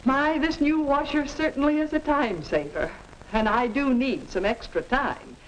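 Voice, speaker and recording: A dutiful, busy American housewife in a 1950 classroom film. Her voice sits fairly high, with stagey delivery as though talking to herself. There is light noise on the old soundtrack. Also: a little slow, dull audio. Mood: brisk, busy. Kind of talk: 1950 educational film acting.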